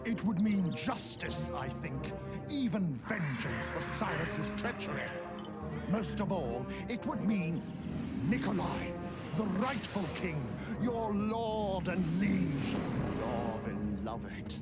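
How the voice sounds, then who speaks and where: A man's voice: high-pitched, big pitch swings, slow, heavily acted. A man playing a sly, mock-polite old necromancer in a video game cutscene, speaking in a theatrical, insinuating way. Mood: persuasive, scheming. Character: sly old necromancer, smooth-talking, mockingly gleeful schemer. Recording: music behind the voice.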